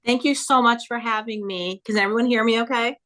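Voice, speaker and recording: A woman's voice: fairly high pitch, steady tone, fairly quick, fairly bright tone. A woman in her fifties speaking as a guest at a town health board meeting, giving an earnest personal account and quoting others along the way. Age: 50s. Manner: earnest, storytelling, quotes others in her own voice. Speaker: guest speaker, cancer survivor.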